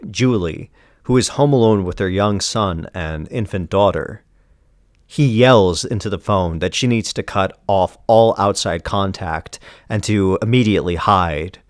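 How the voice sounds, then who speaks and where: Male storyteller for dark comic recaps: deep register, grave deliberate delivery, dead-dry recording. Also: no room sound. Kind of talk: scripted dramatic narration.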